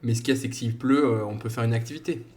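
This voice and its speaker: A man's voice: mid-range voice, steady tone, rapid-fire, darkish tone. A man in his thirties co-hosting a podcast, telling rambling stories in casual chat and laughing often. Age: 30s. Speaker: podcast co-host.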